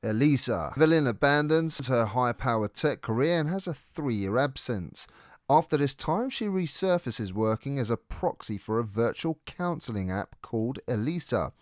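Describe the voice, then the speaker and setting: A man's voice: mid-range voice, lively intonation, normal speed, no room sound, studio quality. A man presenting a scripted video game review as narrator.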